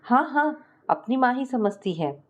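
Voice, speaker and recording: A woman's voice: sits high, sing-song, measured pace, full character voice. A woman storyteller voicing a patient, peace-loving middle-aged mother-in-law, indulgent and a little weary. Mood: gentle, concerned.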